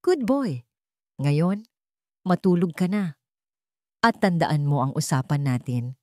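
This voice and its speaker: A cold, commanding fiancée in an audio drama, acted by a woman narrator. Her lowish voice swings in pitch, with veiled threats beneath a show of sweetness. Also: animated, slow pace, warm tone, dry recording, studio quality. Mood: quiet menace. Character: cold, controlling, menacing fiancée with falsely sweet manners. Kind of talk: audio drama character dialogue.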